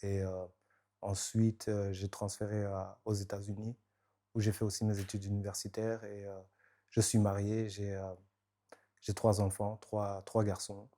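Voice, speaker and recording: A man introducing himself and his family, halting with frequent fillers and restarts. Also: fairly low pitch, monotone, mellow. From Rwanda and Belgium. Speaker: youngest sibling introducing himself. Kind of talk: spoken self-introduction.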